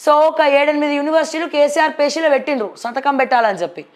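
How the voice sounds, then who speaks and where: A woman's voice: sits high, fast talker. A woman with a Telangana accent presenting news headlines with informal, outspoken commentary and some English words mixed in.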